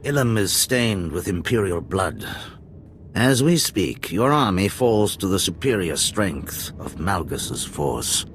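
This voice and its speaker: A dark lord from a sci-fi video game. He speaks very low and slowly, savoring each grandiose phrase with smug politeness and swooping intonation. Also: expressive, a little hiss or hum. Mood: smug menace. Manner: slow, grandiose, mock-polite. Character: haughty male Sith lord, smug right hand to a usurper. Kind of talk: video game cutscene acting.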